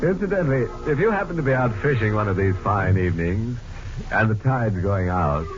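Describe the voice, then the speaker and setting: An actor playing a macabre horror-show host, sardonic and archly mock-sympathetic, in 1940s radio style. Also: male voice, on the low side, expressive, natural voice. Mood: gleefully ghoulish. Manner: slow, arch, mock-sympathetic, savoring. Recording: muffled old radio recording, music behind.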